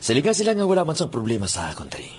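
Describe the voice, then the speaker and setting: A man with a Western Visayan Filipino accent playing a quiet schemer musing and questioning a messenger in a radio drama. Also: mid-range voice, huge pitch swings, rapid-fire, slight background noise. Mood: musing, sly.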